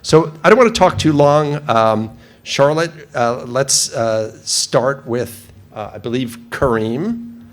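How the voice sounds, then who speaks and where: A man's voice: medium pitch, takes it slowly. A middle-aged man hosts and welcomes a group, talking in an informal, rambling way full of fillers. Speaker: event host and club organizer. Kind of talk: welcome speech.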